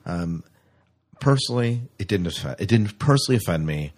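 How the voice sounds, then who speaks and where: American male podcast host, low and husky, conversational, fond of rhetorical questions. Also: lively intonation, normal speed, a little mellow.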